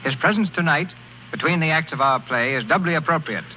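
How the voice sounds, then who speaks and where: A man's voice: on the high side, brisk. Brief: 1930s male host, stately formal intro, tinny with faint hum. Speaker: radio show host. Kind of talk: 1930s radio guest introduction. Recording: tinny, humming 1930s radio recording.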